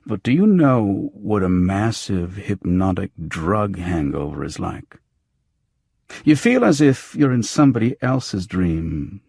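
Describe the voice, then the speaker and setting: A man's voice: lowish voice, gravelly, darkish tone, slightly rough, dry recording, studio quality. A man reading a first-person noir audiobook, telling the story in a dramatic manner with emphatic swells. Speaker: audiobook narrator.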